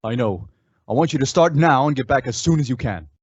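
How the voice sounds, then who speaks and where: An actor playing a calm, resolute western hero in an old-time radio style, measured and instructive. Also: male voice, medium pitch, breathy edge, no room sound, muffled recording.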